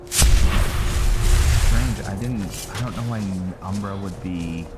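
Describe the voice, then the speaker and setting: A man giving casual video game commentary, thinking aloud in short, halting phrases over game music. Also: sits low, very noisy. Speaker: gamer, video creator. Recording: loud game music behind the voice. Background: loud game music.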